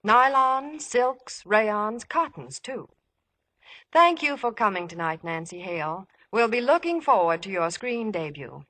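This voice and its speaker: Lively 1950s radio saleswoman. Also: mid-range voice, a little slow, no echo. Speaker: radio sponsor spokeswoman. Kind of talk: scripted 1950s radio advertising patter.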